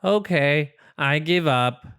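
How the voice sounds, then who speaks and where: A storybook reader voicing a worried, exasperated little rabbit, protesting with expressive emphasis. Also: medium pitch, takes it slowly, clean tone.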